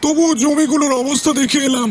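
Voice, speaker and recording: A man acting a high-pitched, greedy village schemer. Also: steady tone, brisk, faint music behind. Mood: mocking. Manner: mocking, falsely concerned. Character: greedy, gloating, scheming village landowner, male. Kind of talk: cartoon voice acting.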